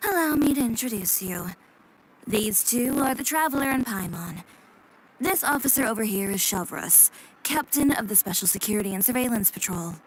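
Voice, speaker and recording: A woman voicing a blunt, self-assured young woman with a brisk, dry manner in a video game. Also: a little high, animated, slight background noise, lightly acted, rough recording. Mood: cool, matter-of-fact. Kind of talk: video game character acting.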